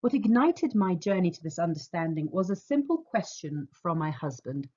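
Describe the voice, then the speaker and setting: An English woman reading a scripted personal reflection in a measured, earnest, expressive way. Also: lowish voice. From England. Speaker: author reading her foreword. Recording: slightly dull, muffled audio.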